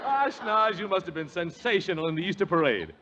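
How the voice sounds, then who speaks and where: Man, 1940s radio announcer, high emphatic read, dull old recording. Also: animated, a little fast, heavy background noise. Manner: polished, emphatic announcer delivery.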